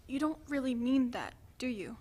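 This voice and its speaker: A woman's voice: high-pitched, animated, normal speed, darkish tone, husky. A woman in a dramatic play reading, playing an insistent, apologetic partner in a troubled relationship. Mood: apologetic, pleading.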